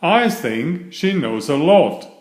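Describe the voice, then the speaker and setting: A man voicing a flustered, fussy young mother who scolds in a shrill voice, in a storybook read-aloud. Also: big pitch swings, slow pace. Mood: apologetic. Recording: roomy echo.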